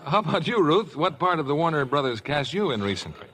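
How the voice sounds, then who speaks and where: An American man announcing and hosting a 1950s radio show in a polished sales-pitch style. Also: sing-song, fast talker, sharp tone, low-quality recording. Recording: vintage 1950 radio broadcast.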